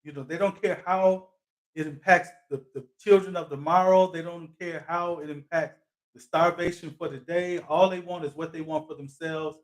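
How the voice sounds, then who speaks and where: A man's voice: fairly high pitch, fairly even intonation, normal speed, low-quality recording. A man hosting a live talk show, interviewing a guest in a thoughtful way with frequent fillers. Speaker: host.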